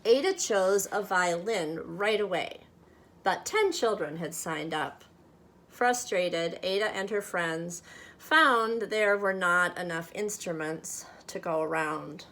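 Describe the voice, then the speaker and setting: A woman reading a children's picture book aloud expressively, with measured, dramatic phrasing meant for young listeners. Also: fairly low pitch, lively intonation, fairly bright tone. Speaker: storybook reader.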